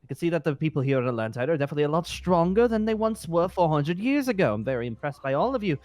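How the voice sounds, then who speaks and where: A man's voice: fairly high pitch, very animated, rapid-fire, a little mellow, no echo. A man playing an ancient, powerful, patronising teacher in an amateur game roleplay, speaking in a genteel, theatrical way. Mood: smug, pleased.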